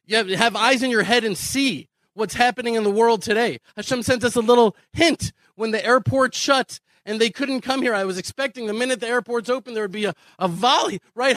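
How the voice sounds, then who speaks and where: A man's voice: sits high, a bit bright, no echo. An American man from Houston giving an impassioned conference speech, earnest and emphatic, repeating phrases and posing rhetorical questions. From Houston, Texas, United States. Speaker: speaker advocating immigration to Israel.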